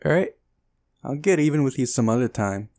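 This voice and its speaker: A man's voice: mid-range voice, sing-song, a little fast, a little mellow, voice pushed up. A man voicing a cheeky, defiant young girl while reading a visual novel aloud.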